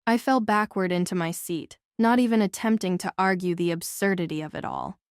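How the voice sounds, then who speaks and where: A woman's voice: on the low side, a little fast, clean tone, dry recording, clean studio sound. A woman reading scripted first-person novel narration as an audiobook narrator.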